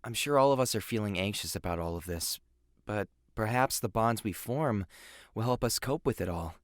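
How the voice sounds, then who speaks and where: A man's voice: on the low side, expressive, moderate pace, no echo, close to an everyday voice. Man playing a cool, wisecracking youth, dry recording. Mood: reassuring. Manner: teasing, sardonic, foul-mouthed. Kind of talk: anime-style audio drama acting.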